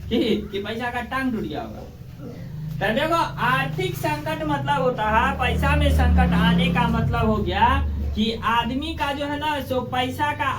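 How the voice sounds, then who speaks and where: A man's voice: extremely high pitch, slight background noise. A male teacher with a Bihari accent lectures in plain, everyday terms. He repeats key phrases and keeps putting prompting questions to his pupils. The sound is echoey, picked up at a distance from the microphone in a classroom. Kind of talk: classroom lecture explaining in simple colloquial terms.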